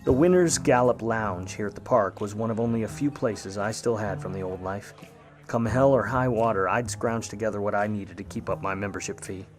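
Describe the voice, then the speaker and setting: A man's voice: fairly low pitch, brisk, faint music behind, natural voice. Man playing a world-weary, wisecracking noir private eye. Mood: resigned narration. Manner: wry, sardonic, hard-boiled. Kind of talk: noir radio play narration and dialogue.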